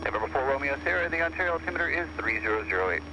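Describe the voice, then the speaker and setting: A man's voice: mid-range voice, lively intonation, very fast. A man working as an air traffic controller, giving procedural instructions over an aircraft radio. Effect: aircraft radio transmission. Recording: noisy old aircraft radio.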